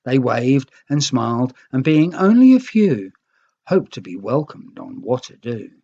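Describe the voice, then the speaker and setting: A storyteller reading a rhyming children's book aloud in an expressive, theatrical way. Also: medium pitch, a little slow, vocal fry, muffled recording. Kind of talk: scripted storybook narration in rhyme.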